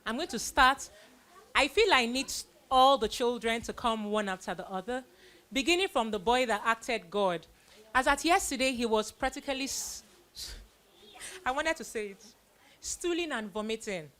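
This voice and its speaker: A middle-aged woman hosting children on stage, giving an informal, animated vote of thanks and speaking to an audience. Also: fairly high pitch, normal speed. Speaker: host presenting child performers of a church play.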